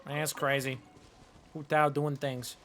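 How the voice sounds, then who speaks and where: A man's voice: on the high side, flat delivery, measured pace, fairly bright tone. A man giving casual, chatty, playful commentary while live streaming a video game. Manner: chatty, playful, muttering nonsense syllables.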